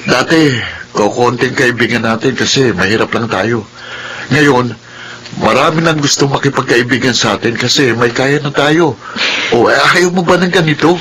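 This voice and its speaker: An actor with a Filipino accent playing an easygoing, carefree teenage son in a radio drama, with a casual manner. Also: male voice, mid-range voice, normal speed, noisy background, close to an everyday voice. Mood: defensive, dismissive.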